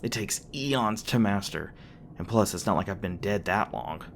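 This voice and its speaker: A man's voice: fairly low pitch, expressive, normal speed, vocal fry, background noise. A man playing a young demon boyfriend in an ASMR roleplay, speaking casually with teasing jokes, sweetness and hesitant asides. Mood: earnest, explaining.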